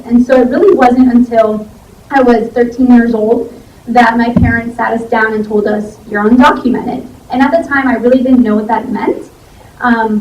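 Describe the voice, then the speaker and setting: A woman's voice: fairly high pitch, a little mellow, slight background noise. Young woman speaking in an echoey hall. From Ecuador, raised in Miami. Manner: conversational, many fillers. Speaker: law student speaker. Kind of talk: personal testimony at a symposium. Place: church hall. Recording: echoey church hall from far off.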